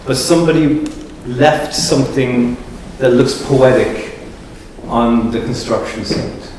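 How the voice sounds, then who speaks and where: Male curator, mid-pitched, reflective talker, distant mic in a noisy gallery. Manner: measured, reflective, searching for words. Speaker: curator hosting a gallery talk. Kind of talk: public talk introducing an artist. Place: large echoey gallery room. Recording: echoey gallery room from far off with ambient noise.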